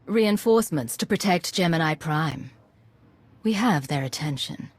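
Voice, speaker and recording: A woman's voice: low-pitched, lively intonation, unhurried, husky, heavily acted. An actress playing a composed, determined female commander in a video game, level and direct. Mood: wary, firm.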